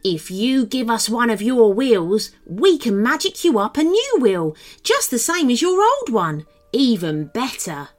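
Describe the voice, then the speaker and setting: A woman's voice: on the high side, sing-song, measured pace, hardly any pauses. A woman voicing a cheerful, boastful little male gnome in a children's story, squealing and eager to persuade. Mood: eager persuading.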